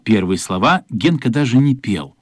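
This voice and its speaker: An actor narrating a children's radio play as a thoughtful storyteller, in an expressive, lyrical literary manner. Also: male voice, medium pitch, moderate pace, breathy edge, dry recording, clean studio sound. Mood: reflective.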